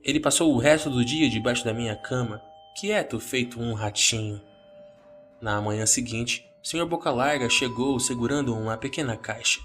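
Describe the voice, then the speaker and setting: A Brazilian man narrates a scripted horror tale in the first person. His voice sits at an average male pitch, with soft background music underneath. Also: normal speed.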